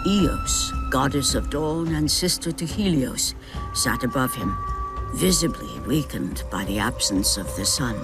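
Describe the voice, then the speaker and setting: A man's voice: mid-range voice, lively intonation, measured pace. A man delivering epic scripted narration in a solemn, dramatic storytelling manner. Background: prominent dramatic music.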